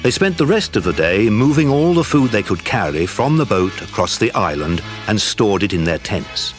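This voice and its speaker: Man narrating with lively, lowish voice. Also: normal speed, faint music behind. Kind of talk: scripted audiobook story narration.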